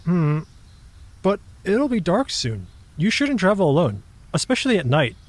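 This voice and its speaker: An actor playing a polite, timid young male raccoon dog in an audio drama, hesitant and gentle. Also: mid-range voice, sing-song, moderate pace, no room sound. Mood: friendly, concerned. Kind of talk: audio drama character acting.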